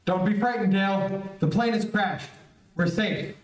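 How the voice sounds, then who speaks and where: A man's voice: high-pitched, measured pace. A man playing a brave, protective young athletic hero in an old-time radio serial, earnest and commanding. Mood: reassuring, urgent. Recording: echoey stage, distant mic, live stream.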